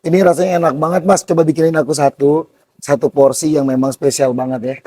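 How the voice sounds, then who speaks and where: A hoarse man, excitedly vlogging about street food outdoors at a stall and calling his viewers "guys". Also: on the high side, fast talker. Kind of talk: casual street-food vlog.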